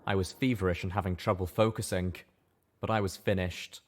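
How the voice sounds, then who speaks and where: A man's voice: sits low, fairly even intonation, brisk, very noisy. A man reading scripted first-person fiction as a dramatic audiobook narrator.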